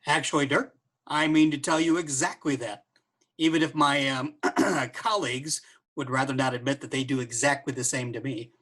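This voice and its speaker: An actor playing an informal, energetic event planner and old pal in a comedy radio play. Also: male voice, medium pitch, normal speed. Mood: breezy, wry. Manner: casual, energetic.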